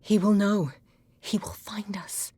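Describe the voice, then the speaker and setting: A woman acting as a fragile, half-mad painter in a video game, breathy and husky, drifting dreamily and cryptically between halting phrases. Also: mid-range voice, fairly even intonation, a little mellow. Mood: resigned, fearful.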